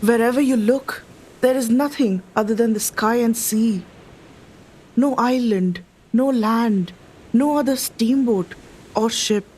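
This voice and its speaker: A woman's voice: on the high side, takes it slowly, darkish tone, husky, low-quality recording. A woman playing an awed, anxious Indian traveller of 1906, telling her account dramatically and formally in a radio play. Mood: alarmed, awed.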